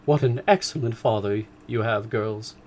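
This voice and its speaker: A man voicing an excitable, complaining middle-aged mother, raised well above his own voice with emphatic swoops. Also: on the low side, normal speed, slight background noise, natural voice. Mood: gushing.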